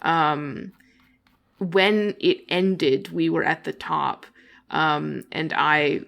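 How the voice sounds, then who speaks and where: A woman's voice: sits low, steady tone, extremely slow, gravelly, fairly bright tone. A woman co-hosting a podcast in casual chat, rambling and giggling often, coming down with a cold. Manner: giggly, rambling with many fillers. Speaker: podcast co-host.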